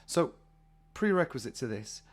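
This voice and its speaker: A British man hosting a casual solo podcast, husky from a cold, chatty and rambling with many fillers. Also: mid-range voice, very animated, moderate pace. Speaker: podcast host.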